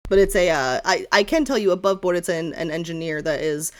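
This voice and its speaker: A woman's voice: fairly low pitch, a little fast, slight background noise. Informal rambling woman running a tabletop game. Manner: informal, rambling, self-correcting. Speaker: game master. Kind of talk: improvised tabletop game narration.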